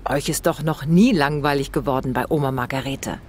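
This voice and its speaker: A woman voicing a concerned, caring mother who gently instructs her children in an audio story. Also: a bass voice, fairly quick, slight background noise. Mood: caring, firm.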